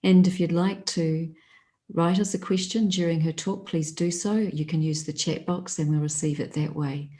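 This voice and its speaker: Low-voiced woman host, mellow. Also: steady tone, normal speed. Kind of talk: introducing a guest for an online talk.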